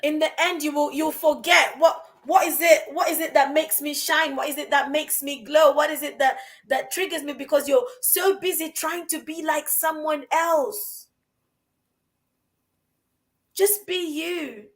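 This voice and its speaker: A woman's voice: high-pitched, fairly even intonation, unhurried. A woman hosting a casual podcast chat, speaking emphatically and repeating phrases for stress. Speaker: podcast host. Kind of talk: casual chat about confidence.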